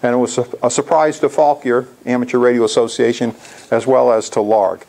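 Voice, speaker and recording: Male, 60s, creaky gravel in the voice, informal club presenter talking through slides. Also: mid-range voice, little pitch movement, brisk, darkish tone, low-quality recording. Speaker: amateur radio club presenter. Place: indoor lecture room.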